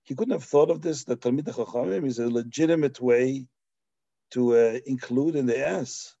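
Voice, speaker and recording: A man's voice: mid-range voice, normal speed, creaky, warm tone, no room sound, dull audio. A man raised in Belgium giving a Talmudic lecture, explaining passages with Hebrew and Yiddish terms and frequent restarts. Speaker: Torah teacher.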